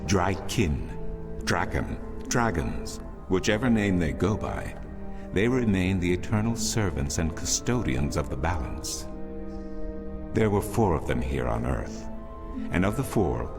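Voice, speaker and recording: Very deep, solemn temple loremaster. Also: male voice, very animated, full character voice. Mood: grave. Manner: measured, portentous, formal. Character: solemn older male temple priest, a storytelling loremaster. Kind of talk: grand scripted fantasy narration. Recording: music behind the voice.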